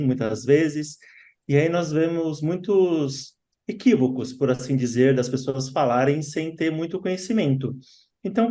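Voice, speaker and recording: Man, podcast host, measured, mellow tone. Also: a little high. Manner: measured, asks long framed questions. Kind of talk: interview questions and comments.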